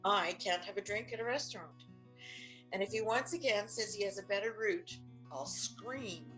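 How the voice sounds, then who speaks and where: A woman's voice: mid-range voice, moderate pace, fairly bright tone, faint music behind, lightly acted, poor audio. An older woman playing a firm, practical, exasperated but loving wife in an audio play, matter-of-fact and insistent. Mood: wry. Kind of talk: audio play stage acting.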